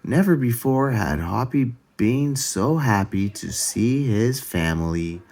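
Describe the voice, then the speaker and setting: Slow, animated male storyteller. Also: mid-range voice. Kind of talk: children's storybook read-aloud.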